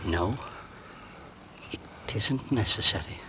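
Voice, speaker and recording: An actor playing a married English gentleman who fears poisoning, speaking formally and deliberately in 1940s radio suspense style. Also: lowish voice, animated, heavily acted. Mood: grim. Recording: noisy vintage radio drama recording.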